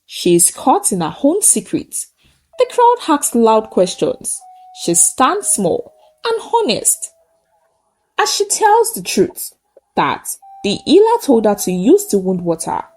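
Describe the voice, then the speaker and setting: Woman storyteller, very animated high-pitched delivery. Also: slow pace. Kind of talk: dramatic folktale narration with a closing moral.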